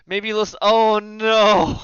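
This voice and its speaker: A man with a bright, forceful and clean voice, pitched a little high, talks as a podcast panelist. He is blunt and sardonic, ranting casually with exasperation. The audio is dry and a bit dull. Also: little pitch movement, slow, smooth, no echo. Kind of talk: casual opinionated chat.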